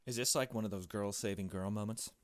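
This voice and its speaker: A man's voice: on the low side, fast talker. A man giving casual, chatty let's play commentary, with playful asides as he reads a visual novel game aloud. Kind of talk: casual game commentary mixed with read-out dialogue. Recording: game music behind the voice.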